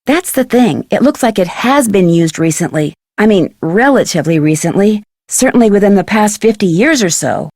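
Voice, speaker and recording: An actress playing an inquisitive, self-assured young female detective in an adventure game, speaking in a clear conversational way. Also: mid-range voice, animated, normal speed, hardly any pauses, a little mellow, no echo, studio quality. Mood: thoughtful. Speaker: game protagonist and tutorial guide. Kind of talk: scripted adventure game dialogue and narration.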